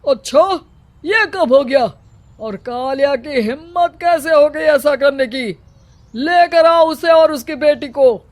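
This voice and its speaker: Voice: high-pitched, slow. A voice actor playing a domineering male village headman in a cartoon story, commanding and scornful.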